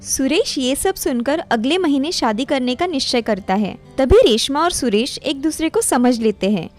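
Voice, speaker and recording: A woman with a high voice narrates a scripted tale. She works as a storyteller, moving through plain narrative sentences, with faint music playing behind her. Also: lively intonation, moderate pace.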